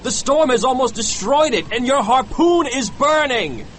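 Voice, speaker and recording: An anxious first mate in a storybook, voiced by a man, pleads desperately and urgently. The pitch is pushed very high and moves dramatically, with noticeable noise behind the voice. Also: animated, moderate pace, a bit bright. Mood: desperate, pleading. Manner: nervous, urgent, crying out.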